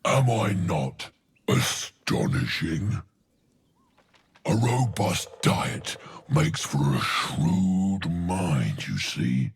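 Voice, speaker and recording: A man voicing a huge, erudite and greedy ogre in a video game, gravelly, florid and pompous, savouring his words. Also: sits low, sing-song, extremely slow, heavily acted. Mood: smug. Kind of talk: video game character acting. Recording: game audio with faint music.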